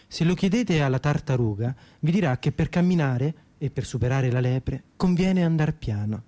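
An actor playing a whimsical poet, reciting surreal soliloquies for radio theatre with deliberate, declamatory phrasing over plucked guitar. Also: male voice, fairly high pitch, animated, dark tone, lightly acted. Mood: wry, playful.

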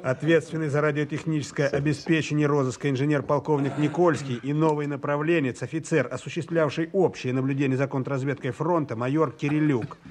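A man reading scripted, documentary-like narration in a formal, measured manner. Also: a little high, steady tone, a little mellow, slight background noise.

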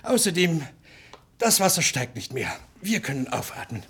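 A man's voice: fairly high pitch, sing-song, moderate pace, a bit bright, husky, exaggerated voice. A man playing a proud, stubborn, pedantic old husband in a German radio play, precise and pompous. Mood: self-satisfied. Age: older.

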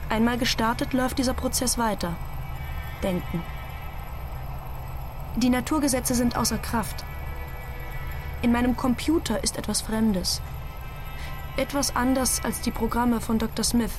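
A woman's voice: a little high, natural voice. An actress in a radio drama playing a rational, guilt-ridden woman scientist in a reflective monologue.

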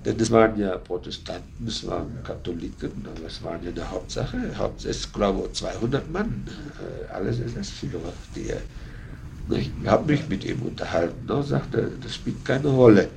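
A muffled archive tape with faint noise carries a man's creaky, lowish voice. He talks informally, halting and then emphatic, breaking off and repeating himself. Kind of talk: informal recorded conversation.

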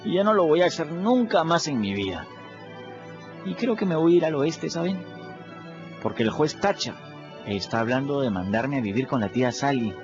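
A man's voice: on the high side, lively intonation, moderate pace, lightly acted. An actor playing a plain-spoken, restless runaway teenage boy who narrates his own story in a casual, folksy way, in a radio drama. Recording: muffled radio play with music.

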